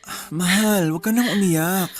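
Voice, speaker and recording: An actor playing a young husband and new father who apologizes gently to his upset wife in a radio drama. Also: high voice, moderate pace, dry recording. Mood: apologetic.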